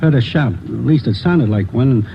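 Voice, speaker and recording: An actor playing a flustered cab driver who talks haltingly in a 1950s radio drama. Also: male voice, mid-range voice, expressive, vocal fry, a little hiss or hum. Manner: halting, with hesitations. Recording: dull old radio broadcast.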